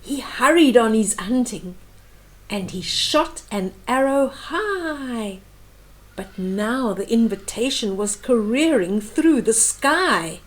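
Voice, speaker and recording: A woman reading a children's story aloud with animated, dramatic emphasis and explanatory asides. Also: fairly high pitch, slow.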